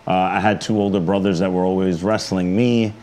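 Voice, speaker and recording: Deep-voiced New York man in casual conversation. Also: fairly even intonation, fairly quick, darkish tone. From the Bronx, New York. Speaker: interview guest, pro wrestler. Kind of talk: casual interview chat. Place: indoor studio.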